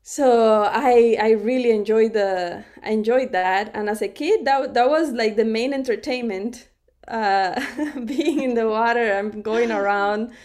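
A woman's voice: on the high side, unhurried, darkish tone. A middle-aged woman from Cuba, a podcast guest telling her story in a conversational interview, laughing often and restarting her sentences.

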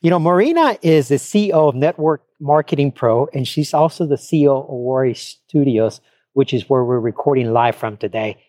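A man's voice: medium pitch, a little fast, a little mellow, dry recording, clean studio sound. A man hosting a podcast in a conversational interview style, speaking emphatically and repeating words for emphasis. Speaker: podcast host.

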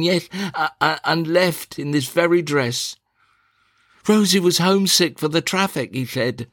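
A man voicing a fussy, fretful middle-aged mother in a one-man reading of a stage play, shrill and prone to outbursts. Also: fairly bright tone, no room sound, clean studio sound.

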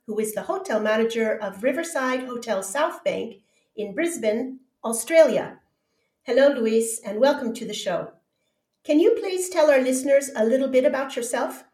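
A woman hosting a podcast, welcoming her guest and asking polite, formal questions. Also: a little high. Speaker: podcast host. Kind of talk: scripted interview intro and questions. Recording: echoey room sound.

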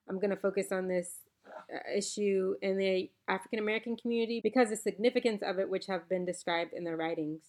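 A woman from the Bahamas hosting her own podcast from home, talking in an earnest, reflective, conversational way. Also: mid-range voice. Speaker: podcast host. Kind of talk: casual solo podcast talk with a read-aloud quote. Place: home, recorded around napping children.

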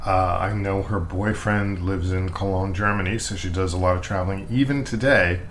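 Man, American, podcast host, chatty, enthusiastic, lowish voice, faint hiss. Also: steady tone, moderate pace, fairly bright tone. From United States. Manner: chatty, lots of "uh" fillers, enthusiastic. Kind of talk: casual interview and ad read.

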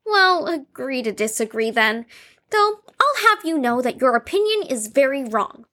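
Very high, chirpy young girl played by a woman. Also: big pitch swings, normal speed, pushed and bright, heavily acted. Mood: eager, friendly. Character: chirpy, eager, dog-loving young psychiatric patient girl. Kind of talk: audio drama acting.

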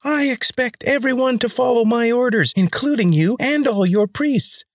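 High grating tyrant, ruthless and commanding, audiobook villain. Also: male voice, normal speed, no echo, studio quality. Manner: commanding, menacing. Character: high grating rebel leader, male, ruthless.

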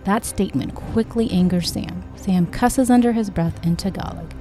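A woman's voice: medium pitch, normal speed, warm tone. A woman narrating a scripted story read aloud, with music behind her. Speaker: audiobook reader.

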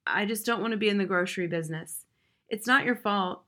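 A woman voicing a sheepish young son, talking low and hesitant. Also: fairly quick, fairly bright tone, lightly acted.

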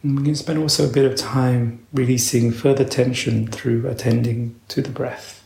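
Gravelly man guiding a meditation. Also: mid-range voice, fairly even intonation, darkish tone, reverberant, a little hiss or hum. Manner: slow, measured, with long pauses. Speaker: meditation teacher. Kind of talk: guided meditation instruction.

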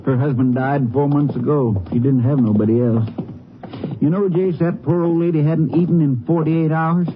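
An actor playing a folksy, plain-spoken, concerned small-town Texas sheriff in a 1950s radio western. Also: male voice, on the high side, fairly even intonation, moderate pace, natural voice. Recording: dull old radio recording with music.

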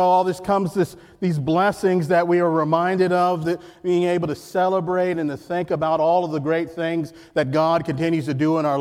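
A man with a loud voice preaching a guest sermon in church, emphatic and repeating key phrases while calling on the congregation to answer. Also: sits high, clean tone. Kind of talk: sermon with jokes and call-and-response. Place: church sanctuary. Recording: long church hall reverb.

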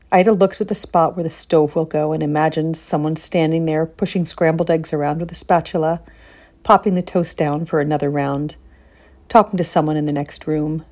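Dark, low-voiced woman reading literary fiction. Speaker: author. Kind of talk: literary audiobook-style reading.

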